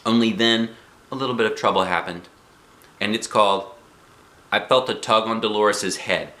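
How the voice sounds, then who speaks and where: A man reading a children's story aloud in an expressive, playful, animated manner. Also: lowish voice, normal speed, reverberant. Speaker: storybook reader.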